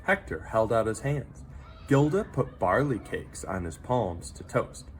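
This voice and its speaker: A man reads a children's story aloud over noticeable background noise. His delivery is slow and dramatic, with very lively pitch movement and pauses for effect. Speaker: teacher reading a picture book aloud.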